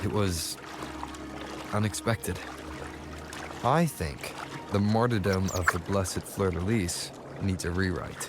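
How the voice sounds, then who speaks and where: A man playing a kind young adventurer hero in a video game, calm and encouraging in manner. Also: low voice, slow, pauses often. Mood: calm, warm. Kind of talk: scripted video game voice acting. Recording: music under the voice.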